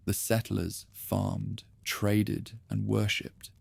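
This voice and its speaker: A man narrating a calm, scripted history documentary in a soft, even, unhurried way. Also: fairly low pitch, creaky, breathy edge, no echo.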